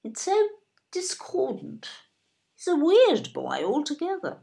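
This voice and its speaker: An imperious older British wife, swooping voice. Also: female voice, sits high, huge pitch swings, takes it slowly, vocal fry, mellow, exaggerated voice. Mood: disdainful. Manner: genteel, fussy, disparaging. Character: imperious, snobbish, self-absorbed older wife and mother. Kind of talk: audio play acting.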